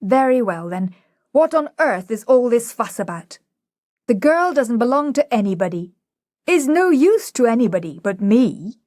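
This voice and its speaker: A woman's voice: fairly high pitch, very animated, moderate pace, darkish tone, no echo, studio quality, pitched above the performer's own voice. Woman voicing blustering professor Higgins. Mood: impatient. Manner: blustering, imperious. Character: Higgins, domineering male phonetics professor.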